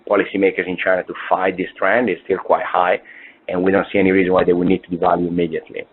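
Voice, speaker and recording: A man's voice: sits low, level tone, rapid-fire, a little hiss or hum. A man presenting on a conference call as a fund's investment officer, talking through views with repeated words and restarts. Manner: fillers and restarts, emphatic repetition. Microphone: conference call phone line. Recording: tinny phone line.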